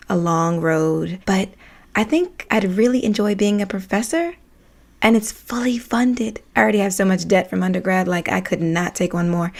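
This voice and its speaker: An actress playing an earnest, chatty young grad student in a naturalistic, confiding audio drama monologue. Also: female voice, medium pitch, vocal fry, natural voice. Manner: casual, confiding.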